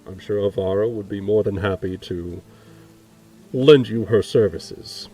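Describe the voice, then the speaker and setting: A man voicing a measured, formal fantasy investigator in a tabletop game. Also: fairly low pitch, warm tone, lightly acted. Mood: measured, reassuring. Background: music.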